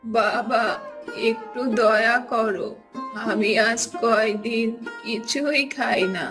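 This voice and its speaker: Woman as elderly beggar in a cartoon fable: slow, pleading, slightly high voice, prominent music underneath. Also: fairly even intonation. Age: older. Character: frail old beggar woman, pleading, kindly, mysterious gift-giver. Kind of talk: cartoon fairy-tale voice acting. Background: prominent background music.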